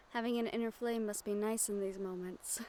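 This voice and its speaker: A woman voicing a gentle, wistful young noblewoman in a romantic fantasy audio roleplay, speaking softly with formal, measured diction and tender pauses. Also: mid-range voice, fairly even intonation, a little hiss or hum, natural voice. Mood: shy, grateful.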